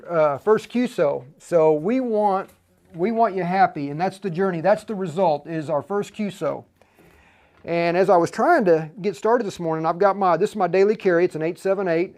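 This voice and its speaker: Male tutorial host, higher-pitched, casual and halting, talks straight to the audience. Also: darkish tone. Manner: halting, repeats and restarts phrases; informal, addresses viewers directly as "you guys". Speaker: livestream host and instructor. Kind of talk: live tutorial, casual talk to viewers.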